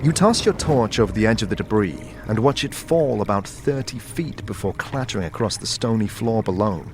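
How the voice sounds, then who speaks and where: A man narrating a dramatic second-person story in a measured, atmospheric manner. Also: lowish voice, expressive, background noise. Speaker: narrator and lead player.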